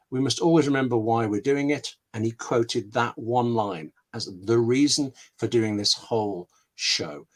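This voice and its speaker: A man's voice: medium pitch, a bit bright. Man chatting, thinking aloud. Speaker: scriptwriter guest answering questions. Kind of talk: conversational webinar answers with anecdotes. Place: home room with books, on a video call.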